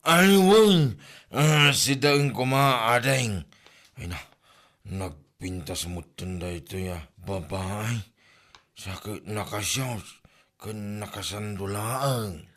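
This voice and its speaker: Slow, flustered Ilocano husband played by a man. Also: fairly low pitch, fairly bright tone. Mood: flustered, smitten. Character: hapless, easily flustered village husband. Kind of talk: Ilocano comedy drama acting.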